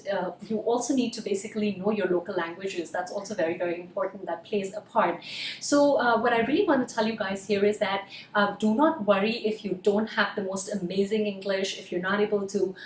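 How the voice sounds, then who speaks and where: A woman's voice: mid-range voice, a little fast, recorded from a distance, a little hiss or hum. A woman from India, a Hindi native speaker, giving earnest casual advice as a cabin crew interview coach, with many "uh" fillers. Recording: roomy echo, voice a bit off-mic.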